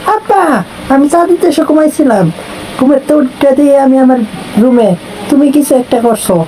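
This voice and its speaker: Over clear background noise comes a high-pitched cartoon simpleton, played by a woman. He is a dreamy, boastful young village man with a Bangladeshi accent. His delivery is whiny, childish and exaggerated. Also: lively intonation, normal speed, mellow, poor audio. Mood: puzzled. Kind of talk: comic cartoon dubbing.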